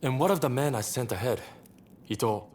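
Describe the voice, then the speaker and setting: A man's voice: medium pitch, a little fast, darkish tone, slight background noise, lightly acted. A man playing a courteous, roguish samurai rebel leader with wry, theatrical phrasing in a video game cutscene. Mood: wry, breezy.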